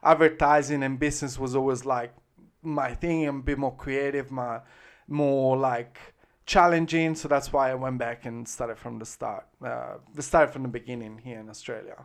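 A man's voice: medium pitch, steady tone, moderate pace. A man in his thirties from Italy with an Italian accent, chatting as an interview guest in a rambling way, full of fillers and restarts. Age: 30s. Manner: rambling, heavy use of "like" and "um", frequent restarts. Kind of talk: casual interview chat. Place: Australia.

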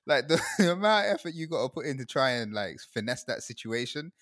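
A man's voice: mid-range voice, big pitch swings, fast, a bit bright, no echo, studio quality. A young man from Guyana in casual chat, rambling and laughing often as he talks.

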